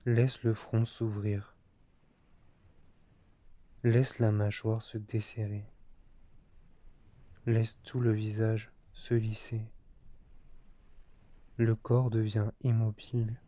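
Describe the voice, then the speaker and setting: A man guiding a yoga nidra relaxation in a soft, soothing, unhurried manner, leaving long pauses. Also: lowish voice, little pitch movement. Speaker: meditation guide.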